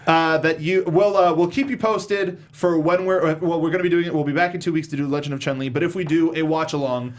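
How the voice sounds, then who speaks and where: A man's voice: a little high, fairly even intonation, fast, hardly any pauses. A man hosting a casual panel chat show, talking conversationally to co-hosts and viewers. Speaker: show host.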